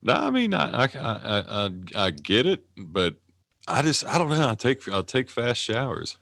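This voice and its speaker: A man's voice: medium pitch, sing-song, moderate pace, creaky, a bit bright, no room sound, studio quality. A man in his thirties co-hosting a podcast, chatting casually in a profane, rambling, self-mocking way. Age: 30s. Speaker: podcast co-host. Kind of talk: casual chat.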